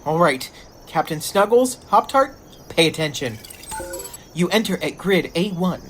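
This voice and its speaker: Woman as distractible tech whiz, slow delivery, lower pitch, muttering, methodical, sci-fi comedy acting. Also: animated. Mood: dry briefing. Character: dry, distractible female tech hacker on space crew. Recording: noisy track with clicks.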